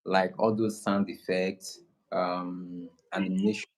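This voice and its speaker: A man with a Nigerian accent talks in an easy, unhurried way, often backing up to restart a thought. His voice sits on the low side. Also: level tone, rough recording. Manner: slow, unhurried, many restarts. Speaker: guest creator. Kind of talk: casual conversation.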